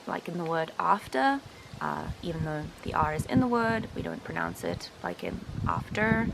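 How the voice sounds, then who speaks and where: A woman's voice: deep voice, creaky, very noisy. A woman in her twenties with a South African accent, explaining informally to camera and sounding out vowels as examples. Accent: South African English. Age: 20s. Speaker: linguistics student presenting a reaction video. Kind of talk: casual explanatory talk to camera.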